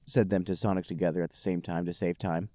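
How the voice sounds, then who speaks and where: A man's voice: sits low, flat delivery, fast talker, mellow, dry recording. A man hosting a comedy podcast, reading fan fiction aloud with mock-dramatic storytelling and casual chat.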